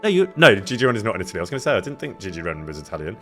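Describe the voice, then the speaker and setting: A man casually chatting with his live-stream viewers, greeting them by name and laughing often, with a loud voice that carries. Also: fairly low pitch, big pitch swings, rapid-fire, soft background music. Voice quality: loud, carrying voice. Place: indoor room near an event space.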